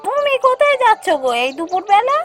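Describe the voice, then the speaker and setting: Woman as a shrill, very high-pitched village housewife. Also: very animated, fast talker, a bit bright, soft background music, full character voice. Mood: chatty. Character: poor, chatty, envious young village housewife. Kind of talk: Bengali fairy-tale cartoon dialogue.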